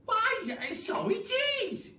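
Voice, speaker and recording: A man voicing a nasty, gullible old wife from a storybook, in a shrill, screechy falsetto with theatrical exclamations. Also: sing-song, slow, pushed and bright, full character voice. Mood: puzzled. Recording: roomy, distant, noisy home-style recording.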